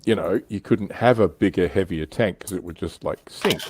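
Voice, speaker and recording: A man's voice: sits low, normal speed, a little hiss or hum. A man hosting a casual hobby livestream, reading out comments and chatting in an informal, wry way. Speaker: livestream host.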